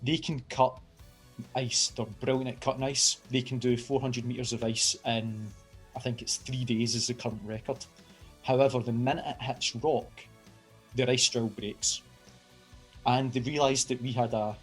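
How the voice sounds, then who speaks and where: A middle-aged Glaswegian man explains his engineering work in a chatty, enthusiastic way, with fillers and restarts. His pitch sits in the middle for a man. Faint music plays softly behind him. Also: husky. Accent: Glaswegian Scottish. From Scotland. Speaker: university engineering lecturer. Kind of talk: informal science outreach talk.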